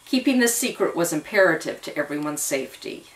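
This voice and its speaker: A woman voicing a calm, serious older retired army intelligence man in an audio story, explaining in a measured way. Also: low voice. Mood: serious, explanatory. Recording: voice distant from the mic.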